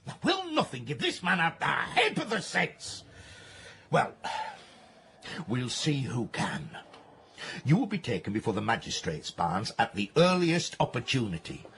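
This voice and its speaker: An actor playing a stern, pompous workhouse master, formal and exasperated, in a radio drama. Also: male voice, medium pitch, wildly swooping pitch, unhurried, fairly bright tone, slight background noise, heavily acted. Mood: indignant.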